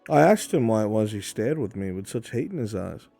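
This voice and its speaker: A man roleplaying a stern, world-weary fantasy mentor, speaking in a dry, measured, matter-of-fact way. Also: on the low side, very animated, creaky, dark tone, soft background music, heavily acted. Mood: matter-of-fact, grave. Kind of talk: improvised in-character roleplay.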